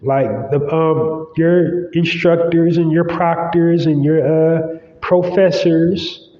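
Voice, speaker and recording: Male podcast host, stuffy nose, slightly high pitch, unhurried rambling delivery, roomy echo, dull lo-fi sound. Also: fairly even intonation, dark tone. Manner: loose, rambling, with many fillers. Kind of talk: casual solo podcast monologue.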